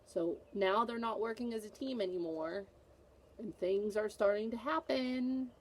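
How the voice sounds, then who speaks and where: A woman's voice: mid-range voice, measured pace. A woman teacher reading a picture book aloud to children, with an instructive manner, repeating questions to prompt her young listeners.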